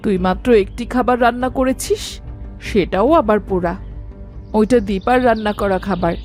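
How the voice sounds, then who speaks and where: A woman voicing a sensible, gently scolding middle-aged village mother in an animated fairy tale. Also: medium pitch, normal speed, faint music behind, lightly acted.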